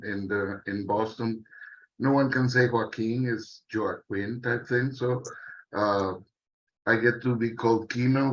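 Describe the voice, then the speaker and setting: A man with a fairly low voice talks slowly and reflectively on a panel. He wanders wryly from point to point. The audio is a little muffled. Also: fairly bright tone. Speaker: panelist, science fiction writer and artist. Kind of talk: unscripted panel introduction. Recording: muffled, dull online call audio.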